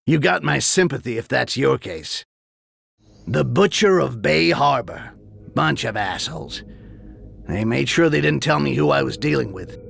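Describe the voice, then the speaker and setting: A man voicing a cynical, foul-mouthed goblin mercenary in a video game, gravelly and sardonic. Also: mid-range voice, lively intonation, unhurried, fairly bright tone, full character voice. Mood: grim, sardonic. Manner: sardonic, streetwise, crude. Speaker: character narrator.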